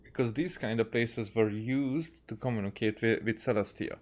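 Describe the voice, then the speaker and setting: A man's voice: medium pitch, normal speed. A man with a Hungarian accent casually commentating on a video game, musing aloud in short fragments. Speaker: game streamer.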